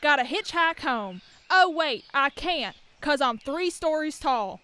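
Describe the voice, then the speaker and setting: A woman's voice: high voice, lively intonation, unhurried, sharp tone, exaggerated voice. A woman playing a huge, exasperated supervillain giantess who complains indignantly in a comedic radio play. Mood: outraged.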